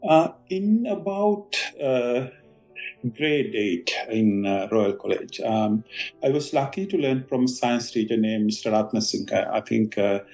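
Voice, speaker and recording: A Sri Lankan man in his fifties, a doctor answering podcast interview questions with many pauses and fillers. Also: mid-range voice, creaky, darkish tone, soft background music, low-quality recording. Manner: hesitant, many ums and uhs. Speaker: guest doctor.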